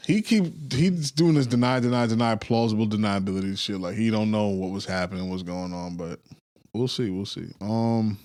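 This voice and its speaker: A man's voice: lowish voice, normal speed, darkish tone. A man hosting a casual podcast chat, arguing and swearing loosely with friends. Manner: argumentative, swearing, laughs often.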